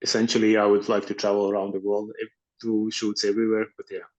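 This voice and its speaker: A man's voice: lowish voice, monotone, quick pace, dull audio. A man from Latvia, a guest photographer chatting casually in an interview and often restarting his sentences. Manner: hesitant, many restarts and fillers. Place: Estonia.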